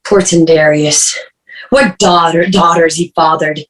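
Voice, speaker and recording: An actress playing an anxious, devoted young sister nursing her sick brother, gentle and pleading. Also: on the low side, fairly even intonation, measured pace, lightly acted, low-quality recording. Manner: gentle and pleading, urgent when alarmed. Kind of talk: stage play reading.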